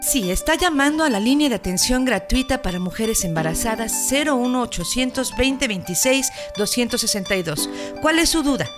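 A woman's voice: on the high side, lively intonation, a little fast, music in the background, close to an everyday voice. A woman playing a reassuring, professional health helpline operator in a scripted radio promo. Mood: polite, helpful.

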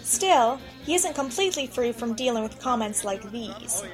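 High-voiced woman reporter over music. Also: expressive, normal speed. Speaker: radio show reporter. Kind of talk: scripted radio narration.

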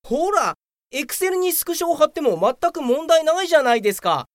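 A woman's voice: sits high, very animated, fairly quick, no room sound, studio quality, soft background music. A woman playing a whiny, self-important young male office worker in a comedic radio drama, full of grumbles and excuses. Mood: cheeky, impressed.

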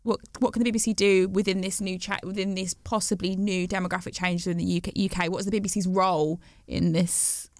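A woman from the West Midlands in a casual discussion, animated and playful, quick to tease and laugh. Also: medium pitch, no echo. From West Midlands, England.

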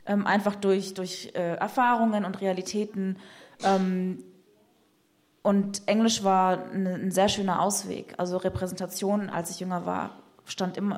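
A woman's voice: mid-range voice, darkish tone. A woman poet in conversation, speaking reflectively and searching for words with frequent fillers. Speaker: poet guest.

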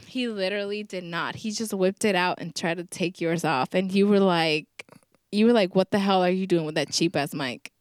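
A young woman with a soft voice co-hosting a podcast in casual chat, blunt and teasing with her partner. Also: fairly low pitch, normal speed, clear, resonant tone, no echo, clean studio sound. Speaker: podcast co-host. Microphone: studio mic.

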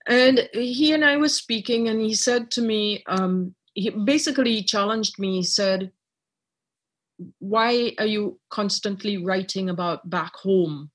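A middle-aged woman from Trinidad, a writer and artist, talking reflectively in an interview with many pauses and restarts. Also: medium pitch. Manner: thoughtful, many fillers and restarts.

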